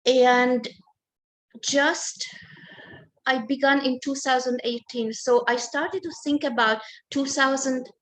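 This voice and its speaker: Older woman, gentle and halting. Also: high-pitched, steady tone, a little hiss or hum, poor audio. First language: Hungarian. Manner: halting, searching for words, gentle. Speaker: author being interviewed. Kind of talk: personal recollection in a book talk.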